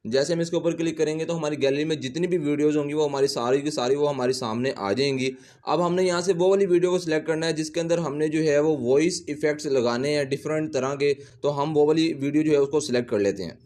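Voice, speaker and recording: Male tutorial host, a little higher in pitch, casual walk-through delivery with repetitive instructional phrasing. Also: quick pace, a little mellow. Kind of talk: casual step-by-step app tutorial.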